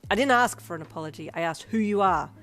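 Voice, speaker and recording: A woman's voice: fairly low pitch, a little fast, natural voice. Lady doing a tough winged hero woman, suspicious, firm and demanding, audio story. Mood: fierce, demanding. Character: fierce, suspicious winged female hero, commanding and sharp-witted.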